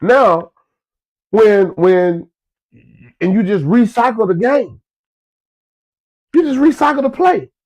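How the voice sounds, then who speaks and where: A man's voice: high voice, lively intonation, slow pace, long pauses, a little mellow, low-quality recording. A man, stuffed up from a cold, giving folksy business advice to his listeners in a casual, repetitive way. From Florida. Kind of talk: casual motivational talk to listeners.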